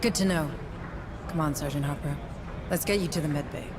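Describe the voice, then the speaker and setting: A woman playing a calm, practical and supportive spaceship officer in a video game cutscene. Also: low-pitched, brisk, noisy background, natural voice. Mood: composed. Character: composed, supportive female second-in-command aboard spaceship.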